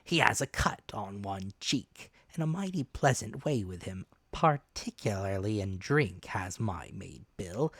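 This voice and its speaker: A man's voice: mid-range voice, fairly bright tone, dry recording, studio quality. A man voicing a sly, menacing seafaring stranger in a storybook, with falsely friendly, insinuating rustic sailor talk.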